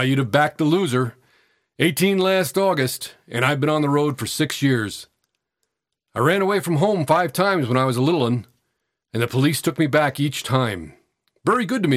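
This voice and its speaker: A man voicing a frail eighteen-year-old tramp boy, cocky, weary and defiant, with husky, breathless, colloquial working-class speech. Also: mid-range voice, animated, moderate pace, dry recording, studio quality, lightly acted. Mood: chatty, casual.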